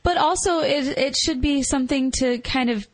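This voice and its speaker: A woman's voice: high voice, normal speed. A woman co-hosting a podcast, chatting casually in a rambling way peppered with "like" and "you know". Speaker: podcast co-host. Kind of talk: casual conversational film discussion.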